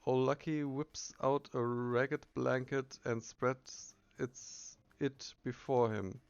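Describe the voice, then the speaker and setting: A man narrating a video game playthrough, reading the text aloud with casual asides and halting self-corrections. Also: mid-range voice, gravelly, clean tone, dull audio.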